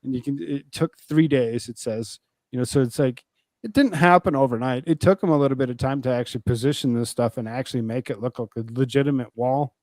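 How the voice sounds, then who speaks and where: American guy hosting a news chat, kinda halting and loose, mid voice, really dry recording. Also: mid-range voice, darkish tone, dry recording. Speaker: show host. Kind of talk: casual news commentary.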